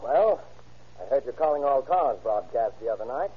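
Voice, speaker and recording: An actor playing an eager, talkative motorist at a gas station in a scripted radio ad. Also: male voice, medium pitch, animated, normal speed, a little hiss or hum, close to an everyday voice. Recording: muffled old radio.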